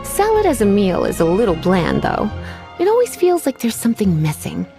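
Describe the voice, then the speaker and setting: A woman's voice: medium pitch, huge pitch swings, moderate pace, vocal fry, over music. A woman voicing an elegant, flirtatious witch librarian in a video game, playful and sultry, fond of pet names. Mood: leisurely, refined. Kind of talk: video game character voice acting.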